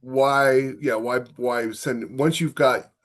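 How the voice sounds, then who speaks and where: A man's voice: mid-range voice, steady tone, takes it slowly. A man co-hosting a podcast, giving his opinions in casual conversational discussion. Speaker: podcast co-host.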